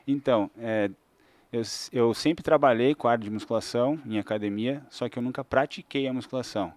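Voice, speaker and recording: A man's voice: mid-range voice, brisk, no room sound. A man in his forties from southern Brazil, a fitness trainer chatting as a podcast guest. He explains things at length in an easygoing way, with restarts and fillers. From Santa Catarina, Brazil. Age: 40s. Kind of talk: casual interview chat.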